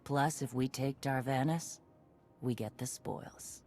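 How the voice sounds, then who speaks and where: A woman voicing a blunt, battle-hardened warlord who talks in short, gruff bursts in a game cutscene. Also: a bass voice, takes it slowly, darkish tone, soft background music. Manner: clipped, gruff, terse.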